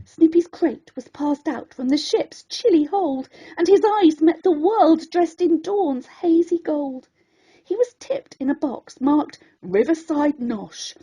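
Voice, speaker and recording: A woman reading a rhyming children's bedtime story in a dramatic, expressive way. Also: high voice, takes it slowly, poor audio.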